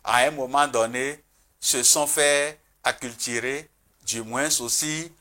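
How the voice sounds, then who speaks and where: A man speaking as a traditional spiritual guest on a TV talk show, earnest and emphatic, with pauses and restarts. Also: mid-range voice, fairly even intonation, sharp tone.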